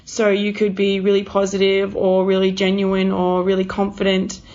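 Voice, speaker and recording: A woman's voice: medium pitch, monotone, normal speed, darkish tone. A woman interviewer speaking conversationally, with an encouraging, affirming manner. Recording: slightly dull, lo-fi sound.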